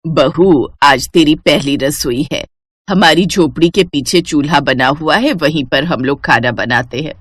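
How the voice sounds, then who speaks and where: A woman performs an older village mother-in-law for a cartoon moral story. The voice sits low for a woman, with a plain, resigned way of giving household instructions. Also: a little slow, a bit bright, breathy edge, dry recording, exaggerated voice. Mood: instructing.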